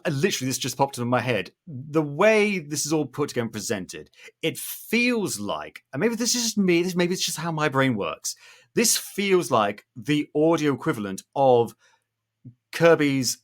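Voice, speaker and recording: A man hosts a podcast with plenty of enthusiasm and a playful streak. His pitch moves around a great deal and his tone is bright and punchy. The delivery is upbeat throughout. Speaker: podcast host.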